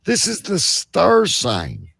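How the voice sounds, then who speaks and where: A man hosting a bantering comedy quiz, halting as he sets up questions, then reading them out emphatically. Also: high-pitched, wildly swooping pitch, creaky, fairly bright tone, breathy edge, no echo, studio quality. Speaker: quiz host.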